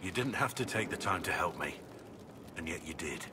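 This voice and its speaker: An actor voicing a brooding, guilt-ridden middle-aged warrior in a video game, speaking gravely and formally with halting earnestness. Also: male voice, low voice, animated, noisy background. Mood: grateful, earnest. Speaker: video game companion character. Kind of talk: dramatic video game dialogue.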